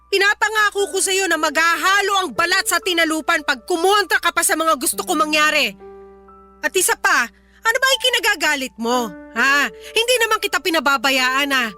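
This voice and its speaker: A woman's voice: very high voice, lively intonation, moderate pace, bright, forceful tone, full character voice. A woman playing a defensive, hot-tempered middle-aged mother, scolding stubbornly in a Tagalog radio drama. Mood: threatening, defensive. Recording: music underneath.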